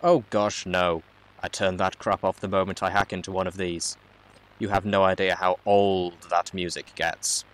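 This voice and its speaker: A man's voice: low voice, little pitch movement, moderate pace, natural voice, rough recording. A man voicing a mysterious hacker who speaks through a robot in a storybook reading, a blunt, helpful guide with a casual, matter-of-fact manner.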